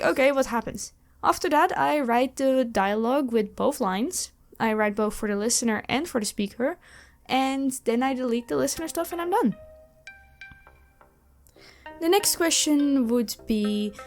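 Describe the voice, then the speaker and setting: A woman with a fairly high voice chats casually as a channel host answering questions. She sounds enthusiastic and expressive, speaking close to the microphone. Soft music plays faintly behind her. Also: normal speed. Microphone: close USB microphone. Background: background music.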